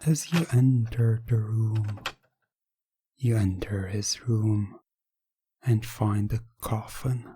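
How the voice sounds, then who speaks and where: A man narrating a hypnotic ASMR story in a breathy, often whispery voice, with deliberate, repetitive phrasing. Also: fairly low pitch, dark tone. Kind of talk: slow hypnotic ASMR storytelling, second person.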